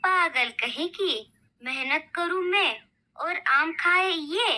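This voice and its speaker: A woman's voice: very high voice, slow, bright, forceful tone, full character voice. A woman voicing a bossy, sly, greedy aunt bird in a children's cartoon, scolding and teasing. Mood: grumbling. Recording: noticeable background noise.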